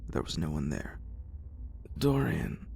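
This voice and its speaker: A man whispers a horror story in an ASMR style. His voice is breathy, extremely deep and full of crackle, with widely swinging, dramatic intonation. Faint noise sits behind him. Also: wildly swooping pitch, unhurried.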